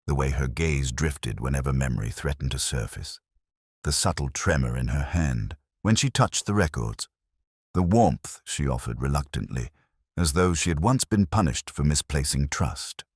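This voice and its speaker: A man reading a scripted first-person detective story in a measured, solemn, literary manner. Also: extremely low pitch, sing-song, breathy edge, dry recording, studio quality. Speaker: narrator.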